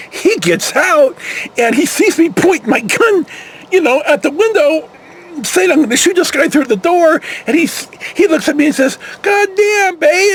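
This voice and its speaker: A man's voice: extremely high pitch, slightly rough. An older American man telling casual, rambling anecdotes, acting out the dialogue and using salty language. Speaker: storyteller, former security patrol officer.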